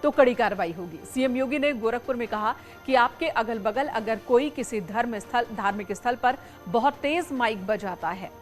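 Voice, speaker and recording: A woman news anchor delivering scripted news narration. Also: a little high.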